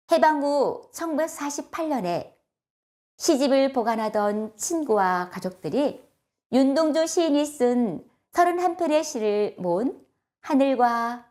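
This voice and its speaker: Female children's storyteller, higher-pitched voice, gentle and instructive, expressive scripted narration with clear phrasing.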